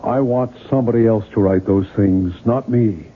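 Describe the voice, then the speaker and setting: A man's voice: lowish voice, moderate pace, a little hiss or hum, close to an everyday voice. Man acting irritable novelist, tinny old radio. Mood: guilty, determined. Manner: curt, weary, grumbling. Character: irritable, guilt-ridden male novelist. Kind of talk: 1970s radio drama acting. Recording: muffled phone-like vintage radio recording.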